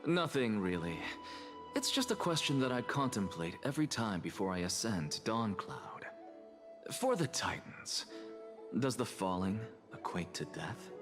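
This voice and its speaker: A man's voice: lowish voice, very animated, unhurried, over music. A man playing an arrogant, philosophical scholar envoy in a video game, speaking formally and theatrically with haughty eloquence. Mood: musing. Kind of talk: dramatic video game voice acting.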